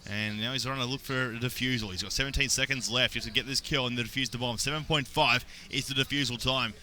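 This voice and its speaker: A young adult man with an Australian accent doing play-by-play esports commentary, getting excited on big plays. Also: mid-range voice, quick pace. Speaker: esports caster.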